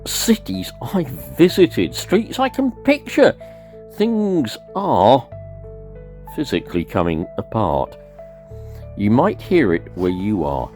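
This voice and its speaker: A man's voice: mid-range voice, wildly swooping pitch, slow pace, darkish tone, soft background music, pitched above the performer's own voice. A man playing a frightened but comforting broadcast host in a radio play, speaking with earnest urgency. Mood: frightened, grave. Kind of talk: dramatic live emergency broadcast in a radio play.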